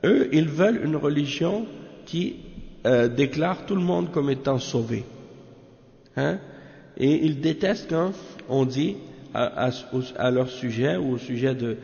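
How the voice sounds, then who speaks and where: Gravelly man teaching with a cold. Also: a little high, expressive, a little mellow. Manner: reads Arabic passages fast, then explains them slowly in French; halting, repeats words and corrects himself. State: has a cold. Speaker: teacher of Quran exegesis. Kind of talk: religious lesson. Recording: dull lo-fi recording with long reverb.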